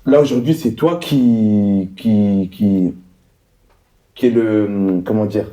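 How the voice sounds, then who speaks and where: A man hosting a faith podcast, asking questions in a casual, halting way and often finishing the guest's sentences. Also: on the low side, warm tone, poor audio. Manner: hesitant, many fillers and restarts, finishes the guest's sentences. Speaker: podcast host. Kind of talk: interviewing, casual chat.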